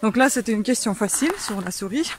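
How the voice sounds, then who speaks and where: A woman's voice: on the high side, animated, quick pace, slight background noise. Woman chatting in a maze. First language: Russian. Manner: laughs often, puzzled and chatty. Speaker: vlogger. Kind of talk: casual chat while walking a maze. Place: outdoors in a hedge maze.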